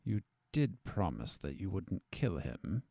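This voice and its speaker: A man playing a weary, calculating captive doctor in an audio drama, speaking in a measured, formal way. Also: deep voice, sing-song, vocal fry, warm tone, dry recording, studio quality, exaggerated voice. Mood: dry, composed.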